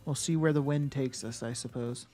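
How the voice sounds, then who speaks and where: A man's voice: medium pitch, steady tone, moderate pace, warm tone. A man live-streaming a game, chatting casually with viewers. He thinks aloud and answers chat with lots of fillers and restarts.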